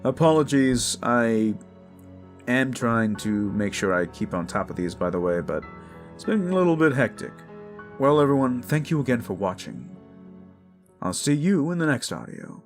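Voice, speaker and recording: A man's voice: medium pitch, very animated, normal speed, soft background music. A man giving a casual, unscripted update to his listeners, under the weather with a sore throat and runny nose. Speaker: audio roleplay creator.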